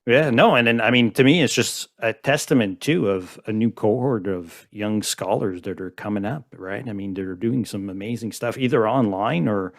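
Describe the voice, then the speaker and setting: A man's voice: lowish voice, normal speed, vocal fry, hardly any pauses. A man in his forties from Montreal hosting a casual podcast conversation, talking in long, rambling sentences full of restarts. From Montreal, Canada. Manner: rambling, many "I mean" restarts. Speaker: podcast host.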